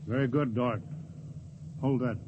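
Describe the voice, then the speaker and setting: A male actor plays a stern starship captain in a vintage sci-fi radio play. He gives clipped, deliberate orders with firm authority. His mid-range voice comes through a dull recording with clear background noise. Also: mid-range voice, steady tone, lightly acted. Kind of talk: mid-century radio drama acting.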